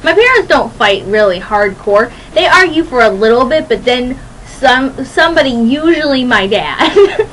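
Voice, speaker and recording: A young woman hosting a radio show, rambling casually to listeners in a chatty, playful, animated and giggly way. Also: high voice, moderate pace, a little hiss or hum, low-quality recording. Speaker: radio host.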